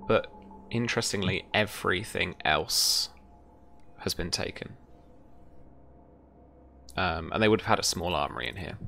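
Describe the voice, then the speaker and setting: A young man runs a tabletop roleplaying session. His voice sits very deep, with a crisp, forceful edge and plenty of fry. Music plays behind him. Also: unhurried, pauses often, slight background noise. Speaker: game master. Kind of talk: running a tabletop roleplaying game. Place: indoor room at home, video call. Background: background music.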